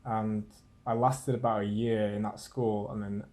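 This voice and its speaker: A young man from Wales, a podcast guest, explaining things in a relaxed, casual chat. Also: on the low side, a little slow.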